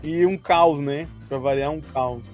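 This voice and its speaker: Brazilian guy with a pretty high voice, joking around on a podcast, bit of music behind him. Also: measured pace, a little mellow. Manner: jokey, laughs often. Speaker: podcast co-host. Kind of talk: casual chat looking back on a role-playing campaign.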